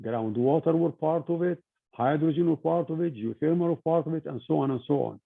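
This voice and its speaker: An older man from Egypt giving an informal talk to students, with halting speech and frequent restarts over a tinny video-call line. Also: fairly high pitch, gravelly. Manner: halting, many restarts and fillers. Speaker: guest speaker.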